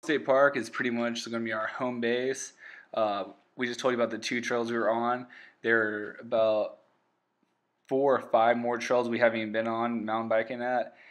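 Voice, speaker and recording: A man's voice: mid-range voice, level tone, normal speed. A man, earnestly narrating for viewers as a channel co-host. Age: 20s. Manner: earnest, upbeat, addresses viewers as guys. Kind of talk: casual voice-over narration.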